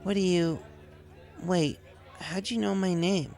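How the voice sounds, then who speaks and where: A man's voice: sits high, fairly even intonation, takes it slowly, a little mellow, a faint crowd, pitched above the performer's own voice. A man voicing a brash young female pony in a story, casual and grumbling, with a scratchy voice. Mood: sour, weary.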